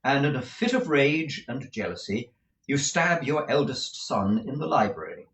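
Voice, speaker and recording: A man's voice: mid-range voice, big pitch swings, moderate pace, a bit bright, slight background noise, pitched above the performer's own voice. A man voicing a polite but harried young male dramatist. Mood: explaining. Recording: roomy echo.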